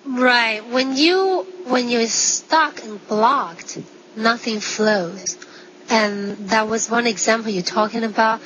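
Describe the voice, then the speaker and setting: A woman physician explaining conversationally as a guest, with simple examples and short, halting, non-native phrasing. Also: fairly high pitch, a crowd in the background. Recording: dull sound, faint background noise.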